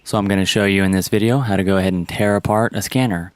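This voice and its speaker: A man's voice: fairly low pitch, fairly even intonation, fast, clear, resonant tone, no echo. A man narrating a step-by-step repair tutorial in a plain, instructional manner. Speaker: how-to presenter.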